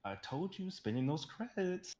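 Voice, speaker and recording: A woman voicing a sullen bounty hunter partner who answers in flat, sulky lines. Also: sits low, normal speed, pitched below the performer's own voice.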